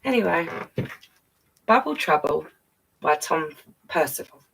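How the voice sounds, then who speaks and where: A woman's voice: deep voice, expressive, unhurried, gravelly, a bit bright, husky, far from the mic, rough recording. A woman reading a picture book to young children, playfully breaking off for chatty asides and questions to the listeners. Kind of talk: read-aloud story time with chatty asides.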